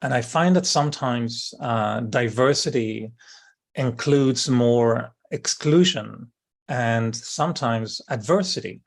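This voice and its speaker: A middle-aged man from France with a French accent, a guest talking thoughtfully and often pausing to search for words. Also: mid-range voice, vocal fry. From France (Brittany). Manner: thoughtful, searching for words, many fillers and restarts. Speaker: interview guest. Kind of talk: reflective conversation.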